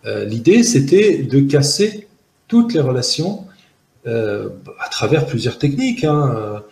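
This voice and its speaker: A man in his forties, originally from Algeria with Arabic as his first language, speaking as an interview guest, earnest and analytical, pausing often with fillers and restarts, talking outdoors into a close camera. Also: mid-range voice, big pitch swings, creaky, darkish tone, reverberant. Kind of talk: reflective testimony in an interview. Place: outdoors in a park-like garden by buildings.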